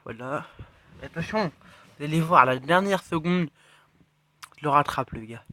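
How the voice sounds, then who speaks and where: A man with a cold giving rambling, excitable commentary on his mobile game matches and chest openings. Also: a little high, a little slow. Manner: rambling, excitable, self-correcting, drops to mutters. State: has a cold. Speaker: mobile game channel host. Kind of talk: casual gameplay commentary.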